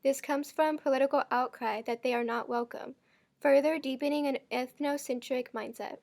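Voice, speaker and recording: High, gravelly young woman narrating. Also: fairly even intonation, normal speed, a little mellow. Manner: explaining, read from a script. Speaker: student narrator. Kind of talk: scripted explanatory voice-over.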